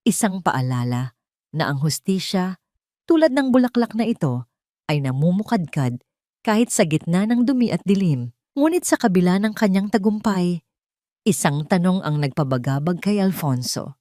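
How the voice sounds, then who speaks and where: A woman's voice: on the low side, sing-song, normal speed, dark tone, no echo, clean studio sound. A woman reading a scripted dramatic story as its narrator.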